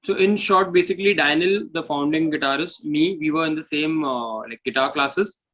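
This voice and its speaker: A man's voice: fairly high pitch, normal speed, sharp tone. A man with an Indian English accent talking casually as an interview guest, rambling loosely with plenty of "like, you know". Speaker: band bassist and founder, interview guest.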